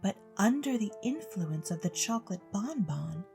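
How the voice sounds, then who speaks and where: A woman's voice: mid-range voice, very animated, normal speed, creaky, a little mellow, faint music behind. A woman storyteller reading a scripted tale in a theatrical storybook narration style.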